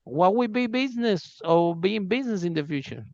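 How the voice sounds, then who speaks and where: A man's voice: sits high, expressive, normal speed, darkish tone, no room sound, lo-fi sound. A man hosting a podcast in casual conversation, eager and full of fillers, speaking English as a second language. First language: not English. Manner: enthusiastic, many fillers and restarts. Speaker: podcast host. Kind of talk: casual interview chat.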